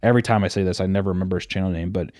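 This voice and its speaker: A man with a slightly low voice holds forth on a podcast, circling his point with restarts and fillers. He sounds loose and conversational, thinking aloud as he goes. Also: fairly even intonation, rapid-fire, a little mellow. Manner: rambling, many restarts and filler words. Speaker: podcast co-host. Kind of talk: casual chat.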